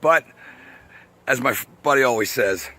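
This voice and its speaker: A man with a raspy voice talks casually to camera outdoors, rambling, swearing, and laughing often as he goes. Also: medium pitch, moderate pace, pushed and bright. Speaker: vlogger. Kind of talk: casual outdoor video monologue. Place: outdoors by a path.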